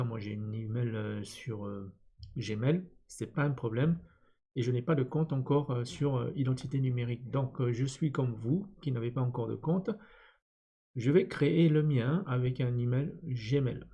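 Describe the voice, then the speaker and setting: A man with a soft, mellow, relaxed tone talks through an on-screen tutorial step by step. His delivery is unscripted and hesitant, as he thinks aloud while working. His pitch sits in an ordinary middle range for a man. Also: normal speed. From born in Vietnam. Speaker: tutorial presenter, instructor. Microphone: computer screen-recording voice-over.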